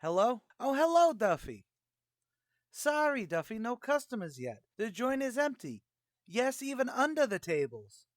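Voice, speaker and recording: A man's voice: very high voice, sing-song, unhurried, exaggerated voice. A man playing a scheming, wisecracking tavern manager in an old-time radio comedy, talking in streetwise slang. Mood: placating.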